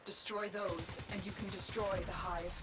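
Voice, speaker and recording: A woman voicing a calm, authoritative mission guide in a video game, composed and instructive. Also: medium pitch, normal speed, a crowd in the background, close to an everyday voice. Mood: composed, commanding. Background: loud game music.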